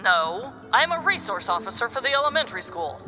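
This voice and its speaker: A woman's voice: fairly high pitch, sing-song, a little fast, pushed and bright, soft background music, full character voice. A woman playing a stern, formal school staffer in a comedy story, firm and officious with a caller.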